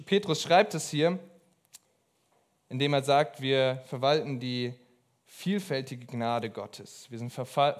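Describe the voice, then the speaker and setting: A young man preaching a sermon in an earnest, emphatic way, pausing between phrases. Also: mid-range voice. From abroad.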